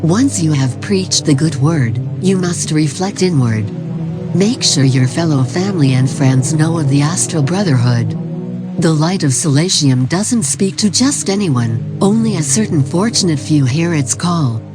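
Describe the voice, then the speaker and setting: A performer playing a calm, formal cult recruitment narrator, reading a scripted instructional tape in a measured, solemn way. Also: medium pitch, close to an everyday voice. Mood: serene, ominous. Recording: 1990s tape-style narration over music. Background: music.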